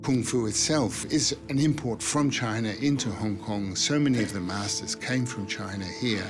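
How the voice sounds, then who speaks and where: A man in an interview, speaking hesitantly with pauses and fillers. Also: fairly low pitch, over music.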